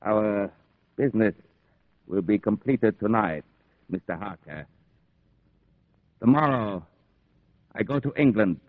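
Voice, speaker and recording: Sinister male vampire aristocrat, slow delivery that swoops theatrically, thin vintage radio sound. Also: on the low side, lively intonation, no room sound, phone-quality sound. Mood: cold, commanding. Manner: slow, theatrical, menacingly deliberate. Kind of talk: old-time radio horror play acting.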